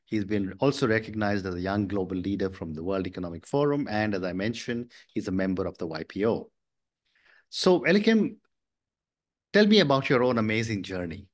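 A man hosting an interview podcast, speaking politely with formal introductions and frequent soft acknowledgments. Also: fairly low pitch, lively intonation, fairly quick. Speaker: podcast host.

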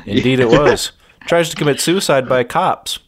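Man, medium pitch, podcast host, dry mock-earnest delivery, casual joking conversation. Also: normal speed, slight background noise. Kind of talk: casual comic banter.

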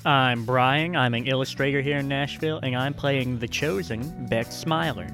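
Male game player, mid-pitched casual talker, with music underneath. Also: normal speed. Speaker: podcast regular and player. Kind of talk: casual chat.

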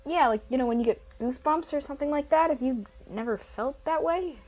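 A woman's voice: sits high, brisk, a little mellow, lo-fi sound, close to an everyday voice. A woman playing an anxious, foul-mouthed prospective student in a comedic audio drama, hesitant and exasperated. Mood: uneasy.

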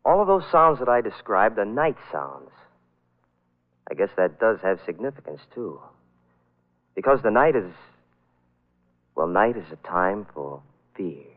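Casting: haunted male storyteller, lowish voice with big swoops, brooding vintage radio drama. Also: very animated, unhurried, long pauses. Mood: ominous musing. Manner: brooding, dramatic, hesitant musing. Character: haunted young man recounting an eerie personal tale. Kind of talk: 1940s radio drama first-person narration. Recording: tinny vintage radio broadcast.

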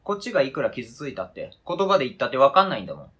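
Man playing a sharp, husky, indignant accuser. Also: mid-range voice, wildly swooping pitch, very fast, full character voice. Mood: accusing. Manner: pedantic, interrogating, formal argumentative phrasing. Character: wounded, indignant adult, coldly logical and relentlessly accusing. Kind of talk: radio drama script reading.

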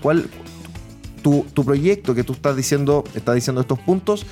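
A Chilean man hosting a casual political interview, asking rambling, halting questions as he works toward his point. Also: mid-range voice, animated, warm tone, soft background music. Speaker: podcast interviewer.

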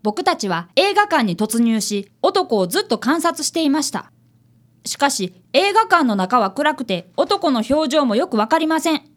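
ラジオドラマで、丁寧な口調の真面目な少年探偵見習いを演じる女性。. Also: female voice, high-pitched, very animated, moderate pace. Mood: earnest narrating. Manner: polite, deferential speech. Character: polite, earnest young boy, apprentice detective. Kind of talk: radio drama acting and narration.

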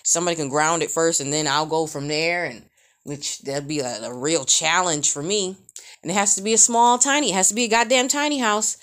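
A woman in her late twenties talking in a casual, rambling monologue, profane and animated, full of fillers. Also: sits low, fairly quick. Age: 20s.

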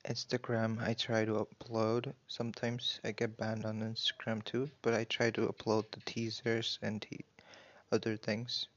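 A man with a lowish, very even voice speaks casually to his listeners as a podcast host. His delivery is halting, with hesitations as he plugs his channels. The recording is slightly dull and lo-fi. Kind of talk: casual promotional talk to listeners. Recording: dull, lo-fi sound.

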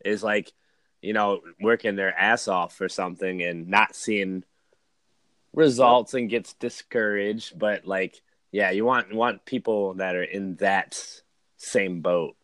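Casting: male guest, about thirty-one, fairly deep voice, informal personal storytelling full of "like" and "man", dry recording. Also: fairly even intonation, slow, fairly bright tone, dry recording. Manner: informal, slangy, uses "man", "dude" and "like". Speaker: podcast guest, podcaster. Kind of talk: casual chat, personal storytelling.

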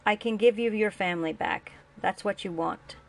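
A woman's voice: medium pitch, normal speed, a little hiss or hum, lightly acted. A woman voicing a remorseful, coaxing father. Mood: reasoning. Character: remorseful middle-aged father, gentle and guilty.

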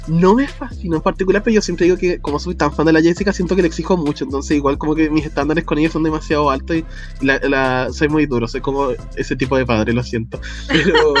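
A man's voice: on the high side, lo-fi sound. A man with a Chilean accent, co-hosting a podcast in casual chat, chatty and laughing often as he drops slang. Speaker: podcast co-host.

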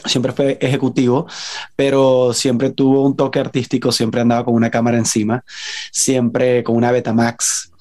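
A man's voice: mid-range voice, monotone, moderate pace, a little mellow. A man from Venezuela with a Venezuelan accent, recalling things casually as an interview guest, often searching for words. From Caracas, Venezuela. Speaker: podcast guest, film director.

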